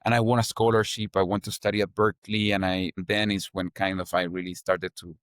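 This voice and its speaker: A young Chilean man with a fairly low voice talks through his story in accented English, loose and chatty, leaning on fillers. The sound is close and dry, with no room around it. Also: little pitch movement, brisk, fairly bright tone, dry recording. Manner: loose, chatty, strings ideas with "like" and "kind of". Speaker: startup founder guest. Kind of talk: casual interview answers.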